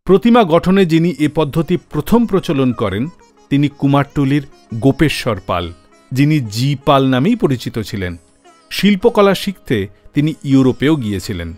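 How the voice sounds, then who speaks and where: A man's voice: a little high, big pitch swings, moderate pace, a little mellow, faint music behind. A man with an Indian Bengali accent hosting a radio show with scripted narration.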